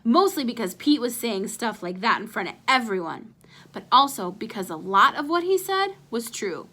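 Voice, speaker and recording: A woman reading a children's chapter book aloud as an expressive storyteller. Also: on the high side, normal speed.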